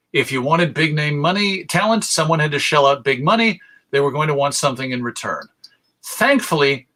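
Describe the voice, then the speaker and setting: A man's voice: fairly high pitch, pushed and bright. An American man giving a conversational talk to an audience as a radio show host, with wry, jokey asides. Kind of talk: live presentation to an audience, conversational lecture.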